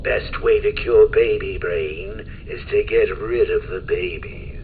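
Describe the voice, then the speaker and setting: A man's voice: sits low, takes it slowly, phone-quality sound, a little hiss or hum. A man voicing a manic, mocking male clown villain in a video game, cackling and swinging his delivery wildly. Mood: taunting. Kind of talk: game voice lines.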